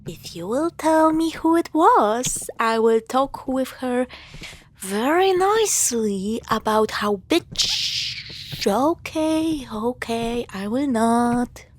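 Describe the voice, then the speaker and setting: A woman playing a teasing, affectionate young girlfriend in an intimate scripted audio roleplay, with sarcastic banter and hushed asides. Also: a little high, expressive, takes it slowly. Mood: tender, protective.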